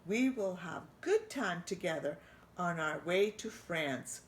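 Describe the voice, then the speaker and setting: A woman voicing a jolly, warm-hearted older male French chef in a mock French accent with broken English. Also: on the low side, lively intonation, slow pace, a little hiss or hum. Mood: delighted.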